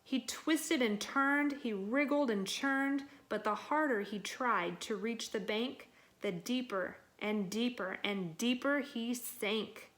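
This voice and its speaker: A woman reading a children's picture book aloud in an expressive, dramatic and deliberate way. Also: a little high. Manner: dramatic, deliberate delivery with acted sound words. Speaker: storybook reader.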